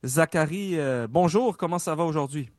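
A man hosts a radio interview, his voice a little high and close to the mic. The sound is dry and free of room echo. He talks informally and drops in fillers. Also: moderate pace. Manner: informal, with frequent "euh" fillers.

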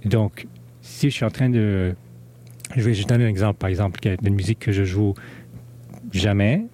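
Man, DJ guest, English speaker, hesitant, many fillers, low voice. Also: fairly even intonation, normal speed, mellow. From Canada. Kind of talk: interview conversation.

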